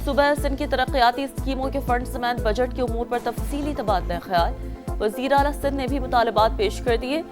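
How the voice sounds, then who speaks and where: A woman news anchor with a Pakistani accent delivers scripted headlines. Her voice sits fairly high, and prominent music plays underneath. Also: moderate pace. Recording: loud music bed under voice.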